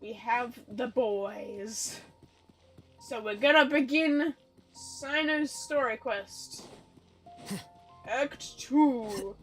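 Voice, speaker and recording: A woman's voice: fairly high pitch, very slow, pauses often, a bit bright, soft background music, low-quality recording. A woman livestreaming a video game in casual, chatty, rambling and playful talk, with some loose swearing. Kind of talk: casual gaming stream chat.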